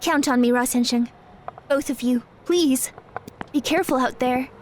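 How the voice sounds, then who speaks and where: A woman's voice: high-pitched, moderate pace, slight background noise, natural voice. A woman playing a polite, earnest young dancer in an audio drama. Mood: earnest, respectful.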